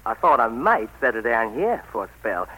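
A cocky, menacing country drifter with a drawl. His mid-range voice moves around animatedly in pitch. The style is vintage 1940s radio acting. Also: very animated, moderate pace, pushed and bright, slightly rough, full character voice, poor audio. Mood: cocky wooing. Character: swaggering, menacing young country drifter turned suitor.

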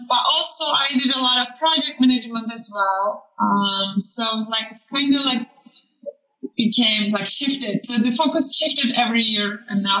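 A woman's voice: fairly high pitch, pushed and bright, reverberant, highs cut off. A woman from Turkey with a Turkish accent, telling her story casually as an interview guest. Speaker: podcast guest, community program manager.

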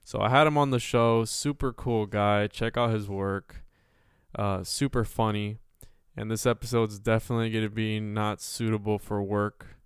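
A man in his twenties hosting a podcast, talking earnestly and philosophically with many halting restarts. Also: on the low side, little pitch movement, clean tone, no room sound, studio quality. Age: 20s. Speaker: podcast host. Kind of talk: casual podcast chat.

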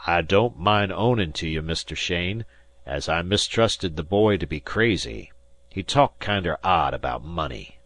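A man voicing a grudging old fishing-schooner captain in slow rustic dialect. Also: low voice, expressive, fairly bright tone, no echo, heavily acted.

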